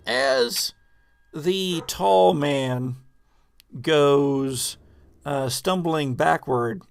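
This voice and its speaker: A man hosting a tabletop horror game as game master, improvising dramatic narration with halting pauses mid-sentence. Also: on the high side, gravelly, no room sound.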